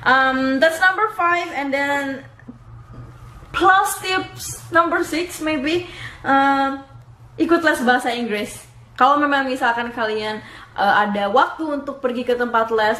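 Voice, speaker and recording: A woman's voice: high voice, measured pace, fairly bright tone. A young woman from Indonesia giving casual vlog tips, chatty and laughing at herself as she switches between two languages. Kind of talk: casual vlog talk to camera. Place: home room in Dublin. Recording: echoey room, faint background noise.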